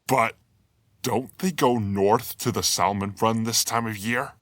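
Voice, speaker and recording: A man's voice: lowish voice, fairly even intonation, measured pace, a bit bright, no echo, studio quality. A man voicing a stubborn, hungry and vengeful predator animal in a dramatic comic dub. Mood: wary, grumbling. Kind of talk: dramatic comic fandub acting.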